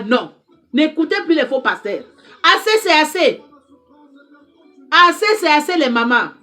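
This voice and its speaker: A woman's voice: high-pitched, fairly bright tone. A woman speaking French as a second language, giving an impassioned direct-to-camera address as an advice counselor, emphatic and repetitive as she exhorts her listeners. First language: not French.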